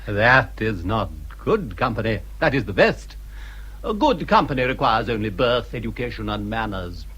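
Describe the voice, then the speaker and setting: An actor playing a suave, flattering English gentleman in a period radio drama, formal and courtly. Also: lowish voice, normal speed, heavily acted. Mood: teasing, persuasive. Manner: courtly, smooth-talking, formal.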